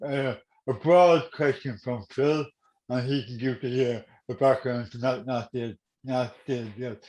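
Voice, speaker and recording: A man with a Dublin accent sounds as if he is in a roomy, echoing space on an online call. His voice sits at a middle pitch, and he introduces and questions his guest informally, haltingly, doubling back on words. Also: a little mellow. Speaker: interviewer.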